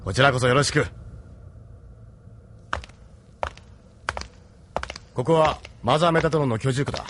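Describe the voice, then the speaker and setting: A man's voice: medium pitch, rapid-fire, a bit bright, lightly acted. A man playing a loyal, plain-spoken spaceship captain in an audio drama, hearty and informal, with some background noise. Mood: upbeat. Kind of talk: anime-style audio drama acting.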